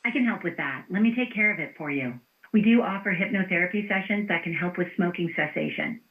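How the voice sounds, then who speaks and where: A woman's voice: fairly low pitch, fast talker. A woman's synthetic voice working as an automated phone receptionist, polite and formulaic in scripted customer-service phrases. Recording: tinny phone line.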